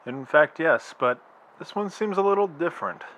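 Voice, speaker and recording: An actor playing a male astronomer who explains things in a measured, slightly hesitant way. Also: a little high, expressive, fairly bright tone, low-quality recording. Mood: reassuring. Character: calm, reassuring male astronomer.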